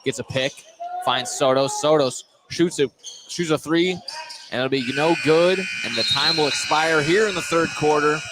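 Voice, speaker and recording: A man's voice: fairly high pitch, measured pace. A man doing live play-by-play radio commentary of a basketball game from inside a gymnasium. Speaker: play-by-play sports announcer. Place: indoor gymnasium during a game. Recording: noisy gym broadcast, bouncing ball. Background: game noise, basketball bouncing.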